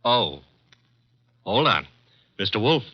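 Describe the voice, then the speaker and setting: An actor playing a wry, brisk young detective's assistant in a 1950s radio drama, casual and wisecracking, on a muffled old recording. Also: male voice, fairly low pitch, sing-song, husky, heavily acted. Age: young adult.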